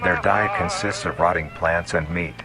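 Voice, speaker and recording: Very deep-voiced man narrating a formal documentary. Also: steady tone. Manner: formal, clinical, measured. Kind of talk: scripted documentary-style horror narration.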